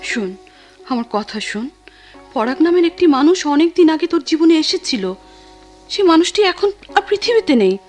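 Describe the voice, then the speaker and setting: A woman's voice: sits high, big pitch swings, normal speed, a little mellow, soft background music. A woman with a Bangladeshi accent playing an earnest, insistent close friend in a radio drama, persuasive and emphatic. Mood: urgent, scolding. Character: Jui, earnest, insistent close female friend urging sense. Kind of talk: Bengali radio drama acting.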